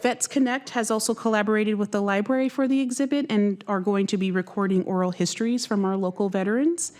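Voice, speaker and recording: A woman library staffer reports to a board in a mid-pitched voice. When answering questions she halts and restarts often. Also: fairly even intonation, fast talker, a little mellow. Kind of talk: public meeting report.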